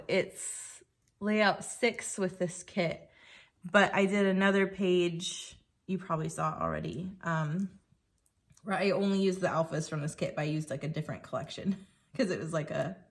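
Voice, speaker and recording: A woman with a slightly croaky voice, chatting casually and thinking aloud while she works on a scrapbook page. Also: medium pitch. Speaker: scrapbooking creator. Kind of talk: casual crafting commentary.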